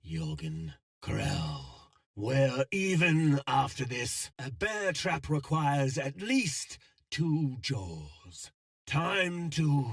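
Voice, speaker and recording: An actor playing an eloquent, scheming syndicate villain in a video game, with a pompous, florid, theatrical delivery. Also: male voice, mid-range voice, vocal fry, a bit bright, dry recording, clean studio sound, heavily acted. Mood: scheming, taunting.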